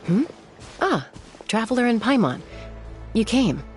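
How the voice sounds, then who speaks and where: An actress playing a cool, serious young woman warrior in a video game, measured and matter-of-fact. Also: on the high side, very animated, faint music behind. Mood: composed.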